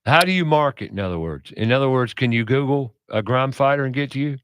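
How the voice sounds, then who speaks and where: A man hosting a radio talk show, speaking in a loud, passionate, preachy way. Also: medium pitch, expressive, fast talker, clean tone, no room sound.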